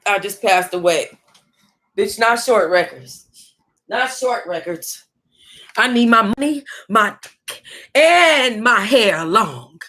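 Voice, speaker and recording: Bright-voiced, sassy American woman reading aloud. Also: mid-range voice, slow pace, pauses often. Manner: sassy, animated reactions, breaking into laughter and asides. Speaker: book club host reading aloud. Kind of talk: reading a tell-all book aloud with casual, reactive asides.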